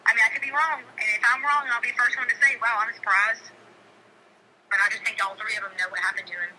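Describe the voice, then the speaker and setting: A woman's voice: on the high side, steady tone, fast, pushed and bright. A middle-aged woman talking casually on a phone call, insistent and emphatic, repeating phrases for stress. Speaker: local neighbor caller. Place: telephone call. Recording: noisy phone call recording.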